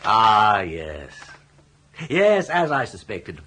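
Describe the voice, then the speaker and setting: An actor playing a jaunty, scheming older English gentleman in a radio comedy, garrulous and grandly worded. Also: fairly low pitch, wildly swooping pitch, extremely slow, full character voice. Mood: persuasive, emphatic. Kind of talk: radio comedy drama acting.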